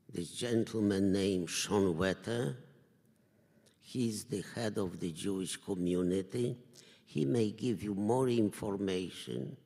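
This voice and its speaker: Elderly man, slow and gravelly. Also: on the low side, darkish tone. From Poland. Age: older. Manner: slow, measured, halting phrasing. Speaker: Holocaust survivor speaker. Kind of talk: personal testimony talk. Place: synagogue hall. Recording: reverberant hall.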